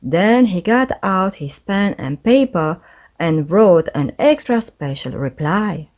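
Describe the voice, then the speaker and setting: A woman's voice: lowish voice, expressive, takes it slowly, a little mellow. A woman reading a children's story aloud as narrator, expressively and with careful pacing.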